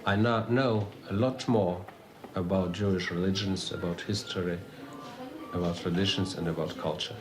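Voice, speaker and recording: A man giving a documentary interview, speaking haltingly and searching for words in a second language. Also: low voice, steady tone. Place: home in Estonia. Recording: noisy old documentary film sound.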